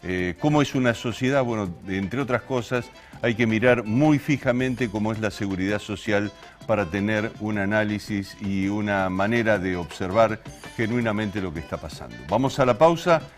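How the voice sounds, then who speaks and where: Argentine guy hosting a talk show, lowish voice, a bit of music around. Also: normal speed. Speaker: TV programme host. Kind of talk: interview and commentary to audience.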